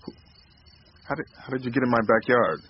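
An actor playing a grieving, distrustful father in a radio drama, speaking in a halting, agitated way. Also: medium pitch, expressive, close to an everyday voice. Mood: wary, angry. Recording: muffled radio drama recording, faint noise.

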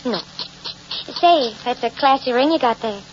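Woman acting a high-voiced, sweet-talking killer manicurist. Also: normal speed, slight background noise, close to an everyday voice. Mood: coy, flirty. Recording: muffled old radio recording, loud music.

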